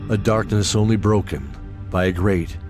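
A man narrating a scripted fantasy story in a measured, dramatic manner. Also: lowish voice, music in the background.

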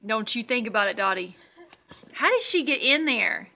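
A woman's voice: on the high side, very animated, moderate pace, sharp tone. A middle-aged woman chatting casually as she films her family on a handheld camera. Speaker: mother filming family vlog. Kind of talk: casual home chat. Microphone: handheld camera, speaking from behind it. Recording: noisy handheld home video.

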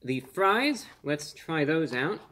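A man in his twenties hosting a casual food review on camera, speaking in an earnest, measured way with slightly formal phrasing. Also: on the high side, big pitch swings, a bit bright. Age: 20s. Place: small indoor room.

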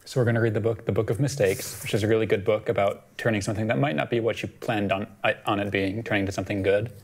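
A man's voice: fairly low pitch, fairly even intonation, quick pace. A young man co-hosting a children's storytime, casually explaining things in a small studio. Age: young adult. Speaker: storytime co-host.

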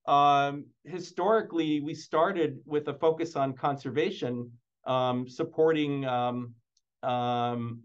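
A man giving an informal slide presentation over a video call, speaking in halting phrases with repeated words. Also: medium pitch, steady tone, clean tone. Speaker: presenter, museum imaging department staff. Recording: dull, band-limited video call audio.

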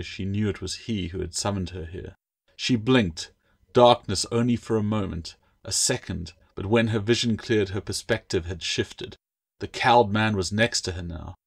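A man reading his own fantasy novel as a scripted audiobook, with dramatic storytelling delivery. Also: low-pitched.

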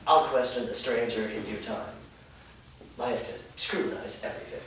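A man's voice: mid-range voice, a bit bright, close to an everyday voice. Man playing a prissy, menacing enforcer, echoey hall. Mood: condescending. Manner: haughty, insinuating, deliberate. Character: prissy, menacing male enforcer of a dark master. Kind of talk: staged reading of a fantasy drama. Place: indoor performance room before a small audience. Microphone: far camera. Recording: echoey hall, distant mic, noisy.

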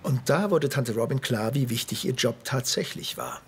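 A man's voice: medium pitch, lively intonation, moderate pace, slight background noise. A middle-aged man narrating a story in a wry tone for a dubbed sitcom.

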